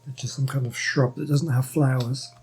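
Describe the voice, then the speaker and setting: A man's voice: medium pitch, steady tone, moderate pace, noisy background. A man giving casual, wry commentary while playing a story game. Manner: wry, joking asides. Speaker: let's play host.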